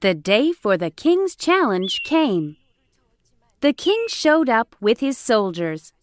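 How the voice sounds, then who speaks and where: A woman narrating a scripted children's fairytale as an expressive storyteller. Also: a little high, takes it slowly. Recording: music bed behind.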